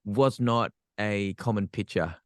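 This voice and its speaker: A man's voice: on the low side, unhurried, no echo, studio quality. An Australian man, a podcast guest, telling his life story frankly with self-deprecating humor. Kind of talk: candid personal storytelling.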